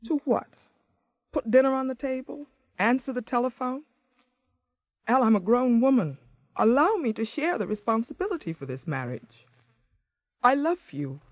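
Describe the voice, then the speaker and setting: Actress as an expressive, assertive wronged wife. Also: female voice, fairly high pitch, normal speed, long pauses, no room sound, lightly acted. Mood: earnest pleading. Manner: pointed, swinging from sarcastic to earnest. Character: hurt, assertive wife and mother confronting her husband. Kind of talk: 1980s radio drama acting.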